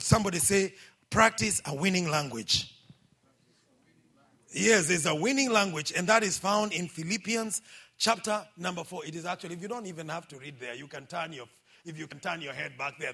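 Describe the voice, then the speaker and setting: A middle-aged man with a Kenyan accent, preaching a sermon in a lively, exhorting way and calling out for amens. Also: fairly high pitch, slightly rough. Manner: lively, exhorting, calls out for amens, quotes scripture.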